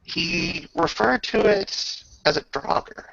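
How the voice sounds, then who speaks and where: Male game master, heavy vocal fry, bright edge, halting delivery, for tabletop roleplaying narration over lo-fi voice chat. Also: sits high. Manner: halting, pausing mid-sentence. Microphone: online voice chat. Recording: dull lo-fi voice chat.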